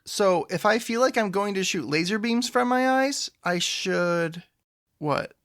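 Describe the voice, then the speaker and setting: Creaky, awkward, wry misfit. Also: male voice, high voice, measured pace, fairly bright tone. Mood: uneasy. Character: troubled, awkward, wry man cursed with antlers. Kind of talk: audio drama dialogue.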